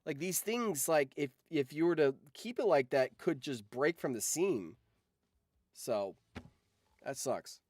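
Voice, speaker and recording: A man's voice: on the high side, expressive, takes it slowly, a little mellow, no echo, studio quality. A man chatting casually on a livestream, telling anecdotes in an informal, sweary, enthusiastic fan's way. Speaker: streamer and voice actor.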